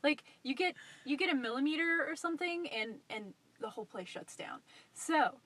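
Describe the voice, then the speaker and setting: A woman's voice: sits high, animated, moderate pace, fairly bright tone, a little hiss or hum. A woman in her twenties with an American accent, telling a personal story casually to camera in a chatty, playfully self-deprecating way. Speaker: vlogger. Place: inside a parked car at night. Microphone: phone at arm's length.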